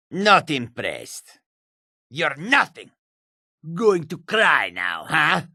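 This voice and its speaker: A man voicing a wary, combative male cat-folk character from a fantasy video game, with a gravelly, raspy voice and exotic, formal phrasing. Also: a little high, pushed and bright, no echo, studio quality, full character voice.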